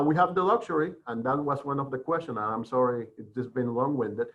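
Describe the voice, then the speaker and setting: Middle-aged male medical expert, discursive webinar delivery, medium pitch, muffled lo-fi call. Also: fairly bright tone. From Dominican Republic. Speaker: forensic pathologist, guest speaker. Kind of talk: explanatory webinar talk. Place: online video call. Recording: dull lo-fi web call audio.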